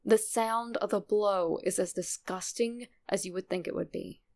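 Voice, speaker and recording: A woman with a creaky voice reading a scripted first-person horror story as a narrator. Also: medium pitch, normal speed, a little mellow.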